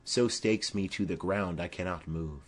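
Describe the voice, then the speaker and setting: A man's voice: low-pitched, normal speed. Man playing lovesick, mournful young Romeo. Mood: gloomy. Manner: mournful, poetic. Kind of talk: dramatic Shakespeare audiobook reading.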